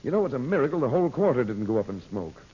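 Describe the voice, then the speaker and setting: An actor playing a composed adventurer hero in a radio serial, businesslike and full of questions. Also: male voice, mid-range voice, big pitch swings, rapid-fire, mellow, slightly rough. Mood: concerned. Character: composed, inquisitive male adventurer hero, magician. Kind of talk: old-time radio adventure serial acting. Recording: muffled old radio recording with hiss.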